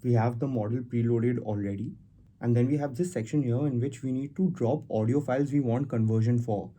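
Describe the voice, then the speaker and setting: A man hosting a casual software tutorial, explaining things step by step as he goes. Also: mid-range voice, brisk. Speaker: tutorial host. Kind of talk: casual screen-recorded software walkthrough.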